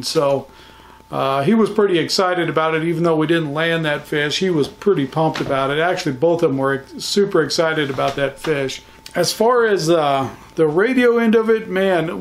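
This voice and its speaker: A middle-aged man with an American accent talks through a casual recap as a hobbyist vlogger. His voice sits slightly higher than most men's. He comes across as folksy and plain-spoken. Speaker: vlogger, amateur radio hobbyist.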